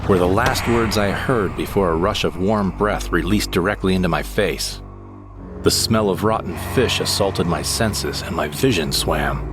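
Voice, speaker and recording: A man's voice: deep voice, normal speed, over music. A man narrating a first-person audio drama story in a dramatic storytelling manner. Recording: narration over loud score.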